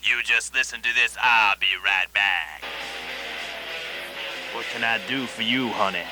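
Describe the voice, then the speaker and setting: A man's voice: medium pitch, a little slow, music in the background, natural voice. A man doing an impression of a hip, swaggering radio disc jockey in a satirical play.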